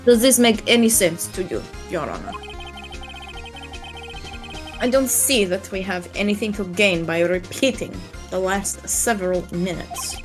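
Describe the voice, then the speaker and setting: A woman's voice: mid-range voice, lively intonation, lightly acted. Woman playing a cool, imperious witness over music. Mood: irritated, haughty. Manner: measured, condescending, drawn-out phrases. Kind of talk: game fan dub acting.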